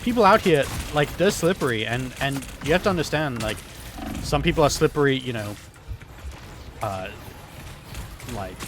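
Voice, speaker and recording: A man with a hoarse voice rambles in a plain mid-range pitch, telling absurd stories over his gameplay. He talks in a deadpan way, stacking fillers and restarting his sentences. A faint hiss of noise sits under his voice. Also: moderate pace, long pauses. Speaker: gaming YouTuber.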